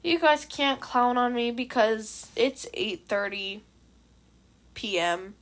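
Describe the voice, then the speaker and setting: A woman with a fairly high voice reads a story aloud. She is an amateur audiobook narrator, moving between scripted prose and casual asides to listeners. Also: expressive, slow. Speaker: amateur fan fiction audiobook reader.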